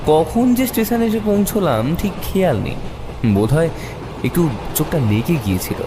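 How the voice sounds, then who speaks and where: A man narrating a detective story in the first person with expressive, suspenseful dramatic delivery. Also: on the high side, normal speed, noisy background. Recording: long reverb, music behind the voice.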